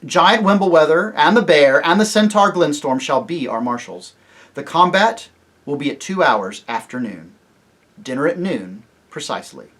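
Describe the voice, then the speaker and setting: A storybook narrator playing a young king, grave, courteous and decisive, speaking in a measured way. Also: mid-range voice, sing-song, a bit bright, slightly rough, heavily acted. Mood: commanding.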